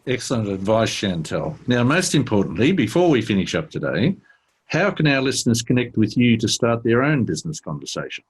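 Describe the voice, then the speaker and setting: A man's voice: medium pitch, sing-song, a little fast, slightly rough. A man hosting a podcast interview, friendly and affirming toward his guest. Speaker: podcast host.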